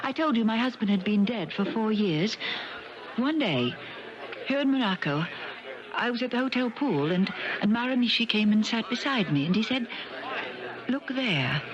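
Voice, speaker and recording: Woman playing an expressive, proud young widow. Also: a little high, moderate pace, pauses often, lightly acted. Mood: earnest. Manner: earnest, poised, insistent. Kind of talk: 1970s radio drama acting. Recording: dull 1970s radio broadcast, crowd behind.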